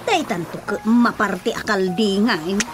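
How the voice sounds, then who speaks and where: An actress with an Ilocano accent playing a skeptical, nagging wife in a radio comedy drama. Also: female voice, medium pitch, moderate pace, close to an everyday voice. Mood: nagging, exasperated. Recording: music behind the dialogue.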